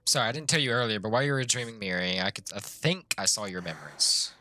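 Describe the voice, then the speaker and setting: Low-voiced, fast-talking male game streamer. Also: expressive, sharp tone. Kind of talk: casual commentary, reading game dialogue aloud.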